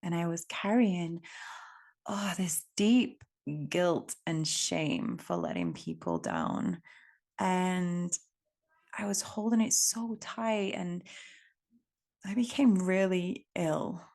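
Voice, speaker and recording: A woman from England with an English accent, hosting a reflective solo podcast in a gentle, unhurried, contemplative way. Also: lowish voice, animated. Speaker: podcast host.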